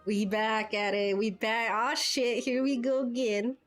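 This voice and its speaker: A woman's voice: on the high side, little pitch movement, measured pace. A woman streamer giving casual reactions as she plays a story game. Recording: music playing behind. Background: game music.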